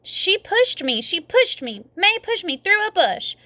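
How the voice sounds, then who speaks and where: Woman doing an excitable high-pitched little boy. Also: unhurried, no room sound, heavily acted. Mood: outraged. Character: excitable, sputtering, easily upset little schoolboy.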